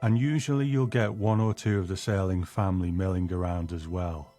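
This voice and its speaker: A man's voice: low-pitched, fairly even intonation, brisk, mellow, soft background music. A man hosting a show, delivering scripted narration. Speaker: podcast host.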